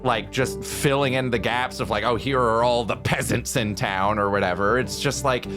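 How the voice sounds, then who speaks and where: A man's voice: mid-range voice, fairly even intonation, moderate pace, over music. A man arguing casually but passionately, emphatic and stumbling, repeating himself as he goes. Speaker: podcast panelist. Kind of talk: impassioned casual debate.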